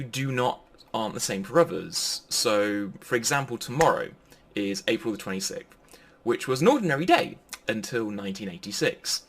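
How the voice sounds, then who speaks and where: A man giving an informal, pre-recorded sermon in a chatty, jokey manner. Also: mid-range voice. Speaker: preacher.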